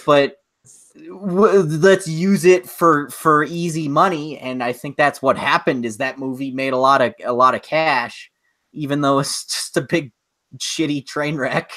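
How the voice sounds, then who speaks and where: A man's voice: a little high, steady tone, measured pace, bright, forceful tone. A man co-hosting a podcast in casual chat, ranting loosely with swearing and plenty of filler words. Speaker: podcast co-host.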